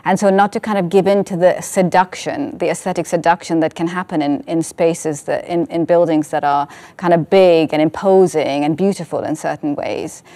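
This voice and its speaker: Mellow-voiced woman, thoughtful and halting. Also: lowish voice, steady tone. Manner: thoughtful, halting, searching for words. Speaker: writer and essay filmmaker on a panel. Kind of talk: reflective artist talk.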